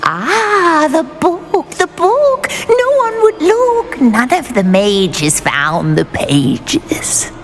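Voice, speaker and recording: A woman's voice: sits high, huge pitch swings, extremely slow, hardly any pauses, soft background music, exaggerated voice. A woman playing a deranged, tormented prisoner in a video game, rambling in sing-song, repetitive rhymes. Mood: manic, excited. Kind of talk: video game character acting.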